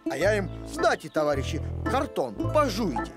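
An actor playing a simple, good-hearted cartoon dog with earnest, folksy phrasing. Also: male voice, high-pitched, very animated, normal speed, music in the background. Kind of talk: cartoon voice acting.

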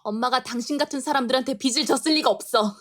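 A woman's voice: high-pitched, fast, a bit bright. A woman voicing a young girl who stands up to debt collectors for her mother, defiant and indignant.

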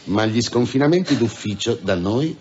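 An actor in an Italian radio drama playing a dismissive, patronising police superior with a formal, pompous manner. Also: male voice, medium pitch, lively intonation, moderate pace, a little mellow, dull audio, lightly acted.

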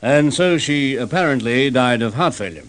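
Guy doing a brisk police boss, lively up-and-down voice, old radio play feel. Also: medium pitch, close to an everyday voice. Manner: brisk questioning. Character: senior police officer, brisk and curt. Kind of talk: vintage radio drama acting.

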